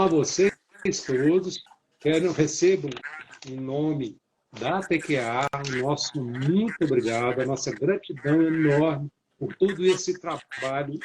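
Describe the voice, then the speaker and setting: A man's voice: medium pitch, a little mellow, slight background noise, rough recording. Mature central Brazilian man, grateful. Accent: central Brazilian Portuguese. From Minas Gerais, Brazil. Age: middle-aged or older. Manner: grateful, addresses audience directly. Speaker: guest, therapy co-creator. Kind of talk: casual live-stream talk.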